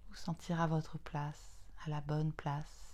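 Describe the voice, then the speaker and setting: A woman leading a guided yoga nidra relaxation in a soothing, unhurried manner, in short phrases separated by long pauses. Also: low-pitched, flat delivery, darkish tone.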